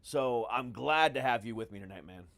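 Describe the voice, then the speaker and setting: A man's voice: medium pitch, lively intonation, moderate pace, fairly bright tone, dry recording. A middle-aged American man hosting a podcast in casual, profane talk, with a brash, mock-grandiose showman's manner. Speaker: podcast host.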